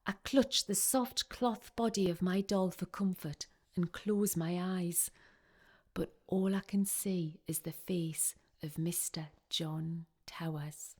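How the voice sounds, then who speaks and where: Woman playing an excitable romantic young Victorian woman. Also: on the low side, takes it slowly, husky. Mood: hushed, yearning. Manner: confiding, expressive storytelling. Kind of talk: radio play monologue.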